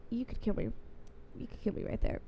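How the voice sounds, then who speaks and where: A young woman with a stuffy, congested voice, chatting casually and getting animated when she makes a point. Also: brisk, a little hiss or hum. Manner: animated, rises sharply in pitch when emphatic. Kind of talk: casual chat.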